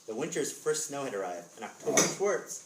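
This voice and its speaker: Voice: mid-range voice, big pitch swings, fairly quick. Echoey, distant narrator reading a story aloud. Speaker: author reading own book as narrator. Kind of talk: readers theatre narration with character dialogue. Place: live author visit, indoor room. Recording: roomy, distant mic with clear noise.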